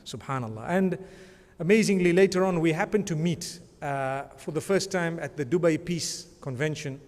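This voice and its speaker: Guy giving an award speech, kinda high voice, chatty and rambly, lots of blessings, big hall echo. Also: moderate pace, a little mellow. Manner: conversational, rambling, frequent religious blessings. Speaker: award presenter. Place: large conference hall. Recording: long hall reverb.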